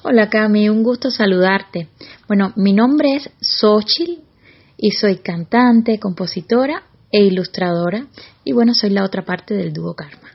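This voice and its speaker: A woman's voice: medium pitch, measured pace, gravelly, breathy edge, lo-fi sound. A woman with a Cuban accent giving articulate, explanatory answers as an interview guest in a conversational way. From Cuba. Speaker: interview guest, singer-songwriter and illustrator.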